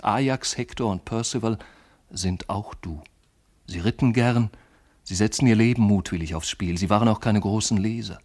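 Deep-voiced, lovesick brooding poet. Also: male voice, darkish tone, husky. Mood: anguished, fervent. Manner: lyrical, intimate, measured with emotional swells. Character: lovesick, brooding, sensitive man of letters. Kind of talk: literary radio play monologue.

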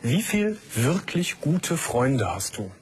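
A man's voice: medium pitch, sing-song, takes it slowly, a little hiss or hum. A man hosting a night-time call-in radio show, attentive and encouraging, often affirming with short interjections.

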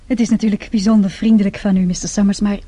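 An actress playing a poised, witty young secretary in a radio play, speaking in a dry, measured, teasing way. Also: female voice, medium pitch, little pitch movement, mellow, husky, close to an everyday voice. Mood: cool, teasing.

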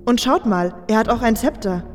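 A woman's voice: on the high side, expressive, moderate pace, darkish tone, faint music behind, close to an everyday voice. An actress playing a curious, matter-of-fact female adventurer in a fantasy audio drama. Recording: long hall-like reverb.

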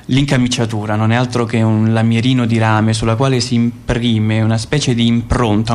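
A man's voice: mid-range voice, lightly acted. Precise, methodical male assassin played by an actor. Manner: precise, explanatory. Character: methodical secret-service assassin, cool weapons expert. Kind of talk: radio theatre monologue.

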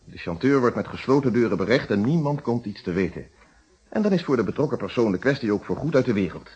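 An actor playing a calm, principled middle-aged police inspector in a Dutch radio detective play, speaking in formal, articulate explanations. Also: male voice, mid-range voice, fast, husky. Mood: firm, earnest. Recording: dull, muffled old radio recording.

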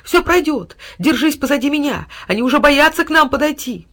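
Storybook dog, devoted and combative, played by a woman with bright, high, fervent shouts. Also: brisk. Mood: devoted, rallying. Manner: excited shouting, fervent exclamations. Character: loyal, fierce, devoted male dog defending his master.

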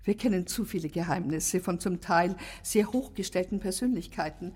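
An actress playing a cold, haughty, unrepentant female villain in a radio play, speaking with deliberate contempt. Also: lowish voice, a little mellow, breathy edge. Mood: smug. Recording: long reverberant room sound.

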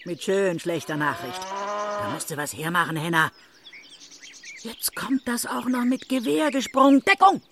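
A woman's voice: medium pitch, expressive, a little slow. An actress playing a spiteful older village mother in a radio play, nagging and coarse, with farmyard noise behind. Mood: scolding. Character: scolding, spiteful old village mother of a policeman. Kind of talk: German radio play acting.

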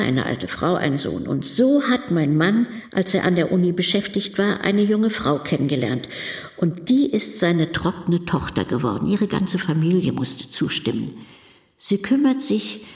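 Plain-spoken elderly wife. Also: female voice, on the low side, darkish tone. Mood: tender, explanatory. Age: older. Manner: plain-spoken, conversational storytelling. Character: elderly devoted wife, caring, worried, quietly amused. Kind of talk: documentary radio drama monologue.

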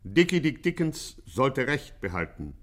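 A man's voice: medium pitch, big pitch swings, a little slow. A man narrating a 1950s radio serial in a formal, mock-dramatic announcer's delivery.

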